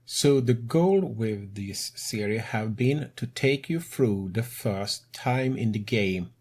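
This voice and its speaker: A non-native-English man narrates a computer game tutorial he hosts. His voice sits a little low and breathy, and he takes his time over each phrase. The grammar slips now and then, but the delivery stays calm and measured. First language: not English. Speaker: tutorial host.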